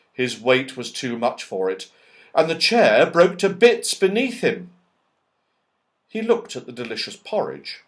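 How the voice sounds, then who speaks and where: Male children's audiobook narrator, unhurried and highly expressive, dramatic emphasis.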